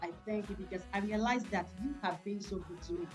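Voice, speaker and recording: A woman's voice: mid-range voice, a little fast, soft background music. Nigerian woman in an earnest interview. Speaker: interview guest, gospel singer. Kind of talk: personal interview talk.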